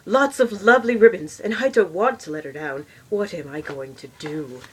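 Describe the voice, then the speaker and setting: Woman voicing an earnest little storybook pony, husky. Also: lowish voice, normal speed, poor audio. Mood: worried. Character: eager, anxious little male pony in a storybook. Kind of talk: storybook character voice.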